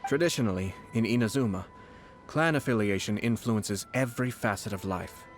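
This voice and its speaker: A man voicing a composed young nobleman who speaks in a calm, courteous and measured way, in a video game. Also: fairly low pitch, soft background music, lightly acted. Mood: explaining. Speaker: commission official.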